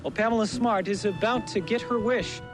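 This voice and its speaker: This is a man reading a television news report, measured and formal. His voice sits high for a man. Clear music runs behind him. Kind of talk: broadcast news narration.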